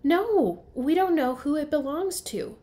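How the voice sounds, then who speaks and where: A woman's voice: high voice, lively intonation, a little slow, dark tone, pitched above the performer's own voice. Woman as a cautious, exasperated young boy. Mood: worried calling. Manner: grumbling, protesting, calling out. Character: cautious, sensible eight-year-old boy, exasperated storybook big brother.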